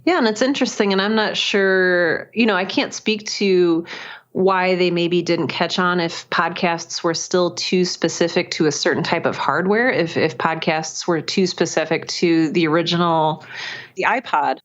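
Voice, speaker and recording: A woman's voice: fairly low pitch, steady tone, normal speed. A woman musing aloud as a guest in a casual interview, over dull call audio. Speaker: interview guest, writer and podcaster.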